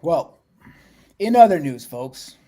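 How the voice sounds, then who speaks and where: A man's voice: fairly high pitch, very animated, slow pace, dark tone. A man co-hosting a real estate podcast, talking in a blunt, streetwise, casual way. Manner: streetwise, blunt, says "yo" and "folks".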